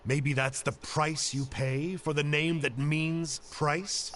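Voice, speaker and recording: A man voicing a calm, bookish young hunter in a video game, reading old records aloud in a measured way. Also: a little high, a little hiss or hum. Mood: dry. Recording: game audio with clear music behind.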